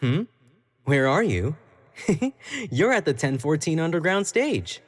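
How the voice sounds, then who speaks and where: A man's voice: fairly high pitch, sing-song, slow, exaggerated voice. An actor playing an obsessive, clingy young male pop idol in an audio roleplay, talking to the listener in a coy, playful, doting way. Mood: playful, smug. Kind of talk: scripted roleplay monologue to the listener.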